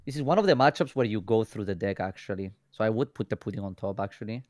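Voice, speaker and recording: Man, Greek accent, low-pitched, dry close mic, excitable card game commentary. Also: fast, no echo. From Greece. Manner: excitable, repeats and restarts phrases. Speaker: co-caster.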